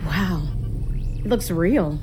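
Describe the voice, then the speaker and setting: An uneasy woman who edits video, in a radio-style drama. Her delivery is naturalistic and a little low-pitched, with loud music underneath. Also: expressive, very slow. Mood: uneasy, awed. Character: uneasy female video editor doubting her work. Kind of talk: audio drama acting.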